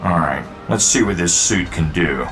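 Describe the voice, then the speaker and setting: Cocky wisecracking genius inventor for a game, low, animated, sardonic delivery. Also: male voice, moderate pace, over music. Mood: flippant. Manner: flippant, sardonic. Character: cocky wisecracking billionaire inventor in armored suit. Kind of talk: video game cutscene acting.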